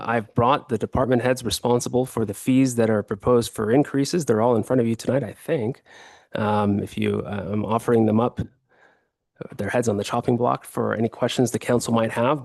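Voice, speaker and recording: A hoarse man serving as city attorney, explaining a staff report at a council meeting with frequent fillers and restarts. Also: medium pitch, no echo.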